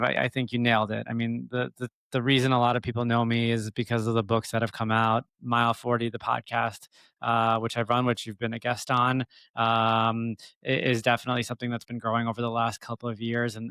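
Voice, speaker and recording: A man in middle age with a clean, resonant voice, captured dry and close. He speaks as an interview guest, reflecting earnestly on his own life. His delivery is measured, with frequent pauses as he gathers his thoughts. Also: mid-range voice, pushed and bright, clean tone, dry recording. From born in Egypt, emigrated as a child. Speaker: podcast guest, author. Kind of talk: reflective personal storytelling in an interview.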